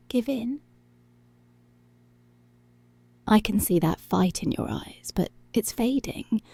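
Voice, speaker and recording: A commanding policewoman toys with her captive, acted out by a woman, in a soft, dark voice with plenty of creak. She taunts seductively and takes her time, sliding into whispers, in an intimate, very dry close-up ASMR style. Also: fairly low pitch, no echo, full character voice. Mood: possessive, teasing. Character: dominant, teasing, menacing policewoman toying with her captive. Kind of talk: intimate ASMR roleplay, slow and breathy.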